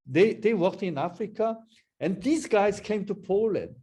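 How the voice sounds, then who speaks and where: A man answering questions in an academic discussion over a video call, halting and restarting his phrases often. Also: sits high, expressive, mellow, husky. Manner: halting, repeats words, many restarts.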